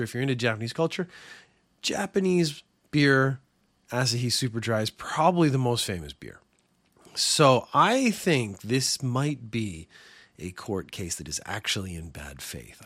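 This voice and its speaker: A man's voice: mid-range voice, a bit bright, dry recording. Rambling, sarcastic podcast host man. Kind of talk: casual solo podcast rant.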